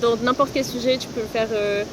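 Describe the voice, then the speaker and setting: A woman's voice: a little high, steady tone, brisk, noisy background. A woman of nineteen, from Italy, a design student chatting as a podcast guest outdoors, laughing often and filling her talk with "genre". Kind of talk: casual chat. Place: outdoors in a city on a hot day.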